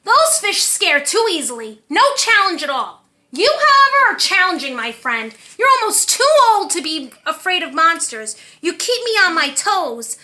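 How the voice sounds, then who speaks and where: A hungry monster from a children's picture book, performed by a woman. The pitch is very high and the tone bright. He growls with courteous, playful menace, like a fond old companion. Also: very animated, normal speed, heavily acted.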